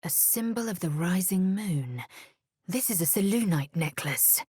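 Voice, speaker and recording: A woman voicing a fierce, proud alien warrior in a video game, speaking with a husky rasp in a haughty, clipped, commanding and formal manner. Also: on the low side, lively intonation, a little slow, warm tone, no room sound, clean studio sound. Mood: wary, clipped. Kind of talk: video game character voice acting.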